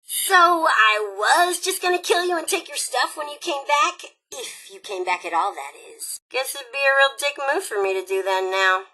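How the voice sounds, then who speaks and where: A woman voicing a cheerful, bloodthirsty female raider robot in a video game, brash, sarcastic and excitable, with a sharp, forceful delivery. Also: high-pitched, moderate pace, hardly any pauses, poor audio. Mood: smug, frank. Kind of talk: animated video game character acting.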